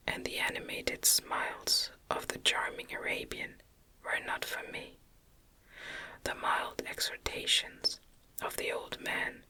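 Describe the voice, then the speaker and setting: A woman whispering a gothic novel as an ASMR reading, gently and deliberately, with soft articulation. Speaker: ASMR reader.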